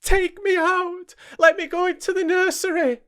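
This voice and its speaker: A man voicing a terrified little girl who pleads frantically in a high, breathless voice in a storybook reading. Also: slow, heavily acted. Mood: frightened.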